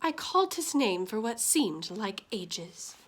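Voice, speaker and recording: A woman's voice: medium pitch, big pitch swings, slow pace. A woman reading as a snooty, bossy upper-class mother with a haughty, commanding manner in a storybook. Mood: worried, disdainful.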